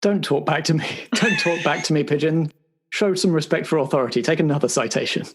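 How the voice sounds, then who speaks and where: A man's voice: fairly high pitch, brisk, husky. A man playing a stern, bureaucratic spy boss chairing a debriefing in an improvised audio roleplay. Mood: indignant. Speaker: game master.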